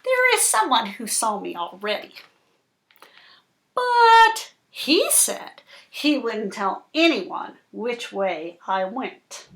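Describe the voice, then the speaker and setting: A woman voicing a small, boastful fish thief in a picture book, confiding in a deliberate storybook delivery. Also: a little high, sing-song, creaky, fairly bright tone, full character voice. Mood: smug. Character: small sneaky fish, boastful, self-assured hat thief. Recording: roomy, echoing indoor sound.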